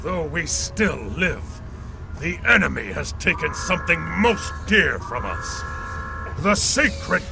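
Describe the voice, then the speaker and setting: An actor playing a legendary alien warrior emperor in a video game, proud and vengeful, speaking in a solemn, grandly declamatory way. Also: male voice, on the high side, very animated, takes it slowly, vocal fry, exaggerated voice. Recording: music behind the voice.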